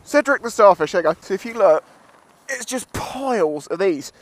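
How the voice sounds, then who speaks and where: A man's voice: sits high, expressive, moderate pace, no room sound. A British man giving casual, jokey, sweary commentary as he vlogs outdoors on a seashore. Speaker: beachcombing vlogger.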